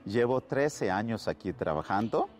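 A man's voice: on the low side, very animated, measured pace, a little mellow. A man from southern India with an Indian accent, a priest introducing himself in unscripted talk. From Kerala, southern India. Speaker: priest, interview guest.

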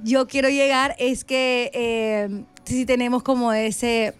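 Woman in her 30s chatting on morning radio, kinda high voice, laughs a lot. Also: level tone, takes it slowly, clean tone, no room sound, faint music behind. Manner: laughing, storytelling, playful quoting. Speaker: radio co-host. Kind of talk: casual radio chat.

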